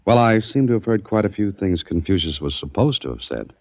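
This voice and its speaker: A man's voice: low voice, big pitch swings, fairly quick, darkish tone, no echo, exaggerated voice. An actor playing a curious, wry male adventurer who also narrates, casual and probing, in 1940s radio style. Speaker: narrator and lead. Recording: dull dry old radio recording.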